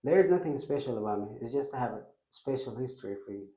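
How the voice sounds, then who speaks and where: Man with mellow voice telling his story. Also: mid-range voice, animated, quick pace, roomy sound. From Ethiopia, raised in Kenya. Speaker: interview guest.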